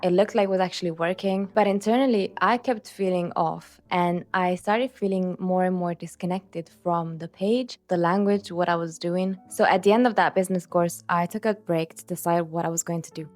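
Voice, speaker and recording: Calm woman. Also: lowish voice, normal speed, few pauses, no room sound, soft background music. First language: Italian. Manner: calm, earnest, speaks straight to the viewer. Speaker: video creator. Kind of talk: reflective self-help monologue.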